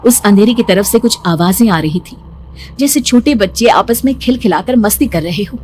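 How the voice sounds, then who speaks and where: A young woman narrating a horror cartoon story in the first person, hushed and suspenseful. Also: mid-range voice, lively intonation, normal speed, slight background noise. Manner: hushed, suspenseful, rising to frightened.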